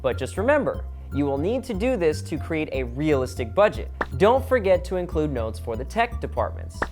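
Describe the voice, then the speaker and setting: A man's voice: fairly high pitch, big pitch swings, normal speed, a little mellow, soft background music. A man hosting a comedic vlog, explaining things in a scripted, instructional way with casual joking asides. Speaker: vlog host.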